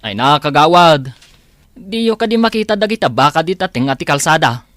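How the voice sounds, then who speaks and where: A man's voice: fairly high pitch, animated, brisk, fairly bright tone, dry recording. A man playing a deferential village driver in an Ilocano comedy drama, with an Ilocano accent. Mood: puzzled. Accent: Filipino, Ilocano. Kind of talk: acted village comedy dialogue.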